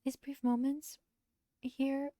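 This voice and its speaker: A woman voicing a gentle, soft-hearted young woman from a visual novel who speaks politely and formally. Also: high voice, little pitch movement, takes it slowly, dry recording, studio quality, voice pushed up. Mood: grateful, formal.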